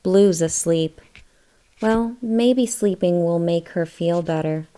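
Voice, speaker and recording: Female storybook narrator for small children, lowish voice, very leisurely pace, question-led read-aloud delivery. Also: takes it slowly, warm tone. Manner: addresses young listeners with questions.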